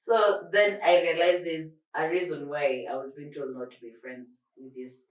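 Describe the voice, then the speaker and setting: A young adult woman co-hosting a casual chat podcast, animated and rambling, laughing often. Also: deep voice, normal speed. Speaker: podcast co-host. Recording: echoey room, far from mic.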